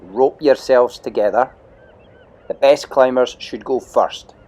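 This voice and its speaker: A man's voice: medium pitch, slow, darkish tone, lo-fi sound, natural voice. A man voicing a bossy, bullying Viking boy leader in a storybook audio play, giving menacing orders.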